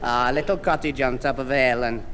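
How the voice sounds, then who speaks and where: Man playing a dithering, stammering Northern lad, high-voiced. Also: fairly even intonation, fast, close to an everyday voice. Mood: bashful, flustered. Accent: Northern English. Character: bashful, dithering, gormless young suitor. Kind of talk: 1960s radio sitcom acting. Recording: echoey hissy vintage radio recording.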